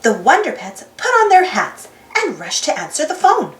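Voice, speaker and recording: A woman reading a children's storybook aloud in an expressive narrating style. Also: high-pitched, normal speed, reverberant. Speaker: storybook reader.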